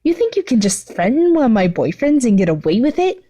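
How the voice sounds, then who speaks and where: A woman's voice: on the high side, very animated, brisk, dark tone, pitched above the performer's own voice. A woman voicing a hot-headed, aggressive teenage hero boy in an amateur story reading, blunt and confrontational. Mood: angry.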